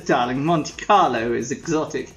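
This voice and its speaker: A performer playing a grand, snobbish former soap actress who gushes "darling" and arrives out of breath. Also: female voice, low voice, full character voice. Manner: breathless, gushing, uses darling. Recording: muffled lo-fi audio.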